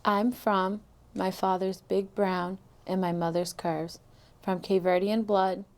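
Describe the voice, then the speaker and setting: An American woman student speaks in a low, fairly flat voice with a gravelly edge. She reads a personal poem in a steady, proud way. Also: takes it slowly. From United States. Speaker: college student.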